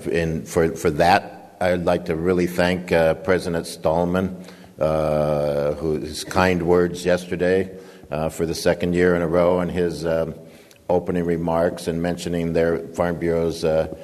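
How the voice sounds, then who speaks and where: An older man giving a conference speech, booming and halting, with many fillers. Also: flat delivery, a little mellow, in a large hall. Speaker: guest speaker, nonprofit founder and director.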